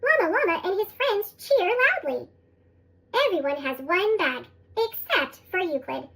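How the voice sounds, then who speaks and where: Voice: very high voice, sing-song, measured pace, fairly bright tone. A narrator reading a children's storybook expressively through a sped-up chipmunk effect. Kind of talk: scripted storybook read-aloud for young children.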